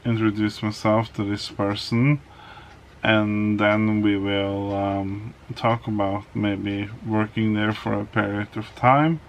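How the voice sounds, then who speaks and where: A man with a Norwegian accent, a native Norwegian speaker, talking hesitantly in a casual vlog with many pauses and restarts. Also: lowish voice, little pitch movement, slight background noise. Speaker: vlogger.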